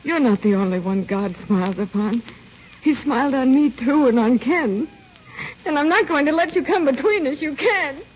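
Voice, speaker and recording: An actress playing an earnest, lovestruck young niece, pleading and emphatic in old-time radio style. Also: female voice, high voice, expressive, normal speed, darkish tone. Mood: defiant. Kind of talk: 1940s radio acting. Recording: muffled old radio recording with music.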